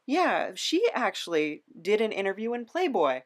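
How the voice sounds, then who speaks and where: A podcast host in casual conversation, explaining things in a halting, thoughtful way. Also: mid-range voice, very animated.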